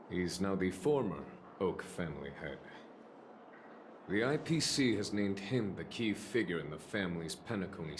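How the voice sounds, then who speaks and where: An actor playing a courteous, diplomatic military general in a video game, speaking in a measured, eloquent and formal way. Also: male voice, low voice. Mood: grave, explanatory.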